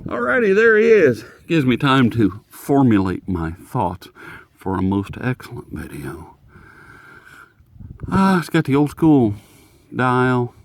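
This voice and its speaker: A man's voice: mid-range voice, huge pitch swings, slow, gravelly. A man hosting a casual, unscripted radio review in a folksy, jokey, rambling manner. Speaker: host of radio review videos.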